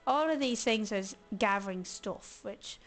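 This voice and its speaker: A hoarse woman chatting casually as she streams a video game, thinking aloud and grumbling playfully. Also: medium pitch, unhurried, soft background music. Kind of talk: casual let's-play commentary.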